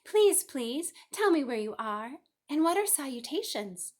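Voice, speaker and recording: Woman playing an earnest young pig, pitched up. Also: very animated, a little slow. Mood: excited, pleading. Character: earnest, anxious young male pig, polite and naive. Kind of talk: children's storybook read-aloud.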